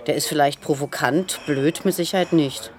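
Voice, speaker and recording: A woman's voice: low voice, moderate pace, darkish tone, close to an everyday voice. A woman playing a cheeky, knowledgeable police detective in a radio drama, teasing and precise. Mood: confident. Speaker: police officer.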